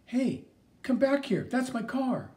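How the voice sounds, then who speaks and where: A man reading an audiobook, voicing an eager, doubtful young boy in a higher, questioning voice. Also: big pitch swings, slow, distant mic, background noise, exaggerated voice. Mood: boyish.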